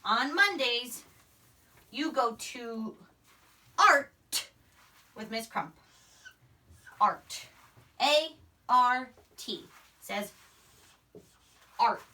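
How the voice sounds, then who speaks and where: A woman's voice: a little high, expressive, drawn-out words, long pauses, sharp tone. A young woman teaching a phonics lesson to young children, sounding out words and letters emphatically, recorded from a few steps away. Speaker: primary school teacher. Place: small indoor room, in front of a whiteboard. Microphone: camera microphone a few steps away. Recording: voice distant from the camera mic.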